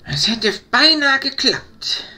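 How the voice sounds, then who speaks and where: A man voicing a weary, good-natured young adventuring hero in a fantasy audio drama, speaking slowly and tiredly. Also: very high-pitched, sing-song, pushed and bright, soft background music, voice pushed up, heavily acted. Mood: faint, resigned. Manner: slow, tired delivery.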